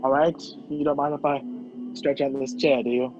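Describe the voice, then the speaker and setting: A man's voice: mid-range voice, little pitch movement, normal speed, natural voice. A young man acting a wisecracking, sarcastic fugitive in an amateur radio play. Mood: weary. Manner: dry sarcasm. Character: wisecracking fugitive man, sardonic, handcuffed to a woman. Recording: dull lo-fi video call audio. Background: music.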